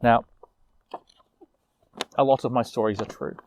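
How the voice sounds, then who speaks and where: A man telling a fantasy tale in character, moving between informal asides and measured narration. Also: medium pitch, steady tone, darkish tone, a little hiss or hum. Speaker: storyteller.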